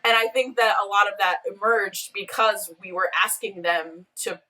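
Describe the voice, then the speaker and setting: Female podcast creator as interview guest: bright, conversational, slightly off-mic on a video call. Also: medium pitch, normal speed, far from the mic, poor audio. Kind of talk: casual interview chat.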